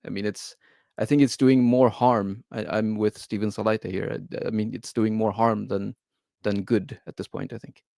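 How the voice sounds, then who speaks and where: A man's voice: lowish voice, flat delivery, moderate pace, vocal fry, mellow, no echo, clean studio sound. A man from Turkey, a guest on a livestream panel, speaking casually with many fillers.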